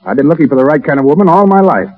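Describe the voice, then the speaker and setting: A man's voice: fairly high pitch, lively intonation, racing, close to an everyday voice. An American man acting an insolent, wisecracking Foreign Legion soldier in a 1930s radio drama, cocky, slangy and sardonic. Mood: wry, determined. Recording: muffled, narrowband 1930s radio broadcast.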